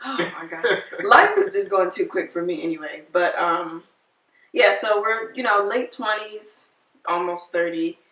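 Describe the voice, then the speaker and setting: A woman in her twenties chatting casually with her partner on a vlog, teasing and quick to laugh. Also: on the low side, sharp tone, distant mic. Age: 20s. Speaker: vlog co-host. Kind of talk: casual couple chat. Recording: roomy, mic set back.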